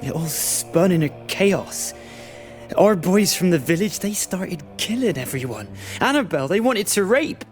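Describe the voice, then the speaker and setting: Anguished village fisherman, highish voice. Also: animated, faint music behind. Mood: sorrowful, confessing. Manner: rustic dialect, halting, emotional. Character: anguished, guilt-ridden young village fisherman, lovelorn commoner. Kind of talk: video game dialogue acting.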